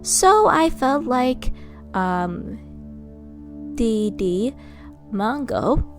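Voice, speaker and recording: A woman's voice: a little high, sing-song, drawn-out words. A woman giving a casual, rambling voice-over commentary as a fan narrator, stumbling over names. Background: background music.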